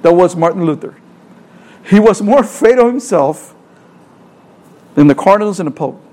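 A man's voice: sits high, lively intonation, moderate pace, warm tone. A middle-aged man from El Salvador with a Salvadoran Spanish accent, preaching a sermon earnestly, asking rhetorical questions and repeating phrases.

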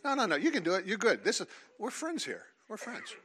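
A man leading a seminar before a live audience, talking in an animated, teasing way and calling on listeners. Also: high-pitched, fairly quick, slightly rough. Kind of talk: lively creationist talk with audience.

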